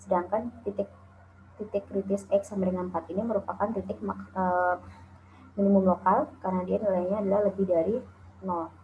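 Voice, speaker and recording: A woman's voice: medium pitch, level tone, a little fast, slight background noise. A woman from Indonesia giving a recorded calculus lecture over slides, explaining step by step with frequent "eh" and "nah". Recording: tinny phone-like lo-fi audio.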